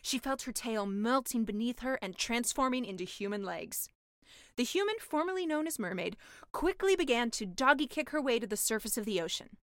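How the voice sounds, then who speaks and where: A woman reading a comic fairy tale as a scripted storybook narrator, expressive and mock-dramatic. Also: fairly high pitch, fairly quick, dry recording, clean studio sound. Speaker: author reading own story.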